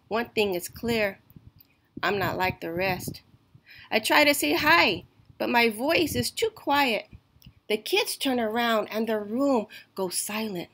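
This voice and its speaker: Female storytime reader: unhurried, emphatic delivery, slightly high and bright, for rhyming picture books. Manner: slow, deliberate, emphatic storytelling. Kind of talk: expressive read-aloud of a rhyming children's picture book.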